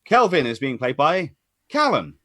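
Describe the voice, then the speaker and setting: Chatty man with swooping pitch. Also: big pitch swings, moderate pace, fairly bright tone, dry recording, clean studio sound. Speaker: host and announcer. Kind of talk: casual live-stream introduction. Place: online group call.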